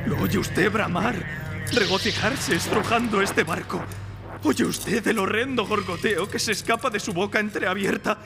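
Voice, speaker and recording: A man's voice: high-pitched, gravelly, full character voice. A man playing a vengeful, grief-crazed German submarine officer in live radio theatre, raving theatrically with taunting questions. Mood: manic taunting. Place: performed before an audience in a library. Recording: reverberant live stage recording with music.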